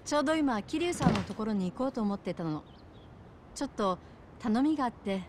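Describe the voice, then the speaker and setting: An actress playing a friendly, straightforward bar owner in a video game, talking casually and directly. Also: female voice, a little high, expressive, fairly quick, a little mellow, slight background noise, lightly acted. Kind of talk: video game cutscene acting.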